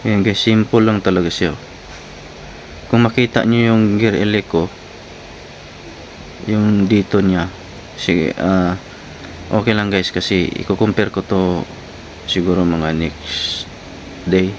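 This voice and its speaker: A man's voice: sits low, takes it slowly, pauses often. A man giving a casual product review to viewers in short, informal phrases. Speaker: vlogger reviewing gear. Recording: clearly noisy, steady background hum.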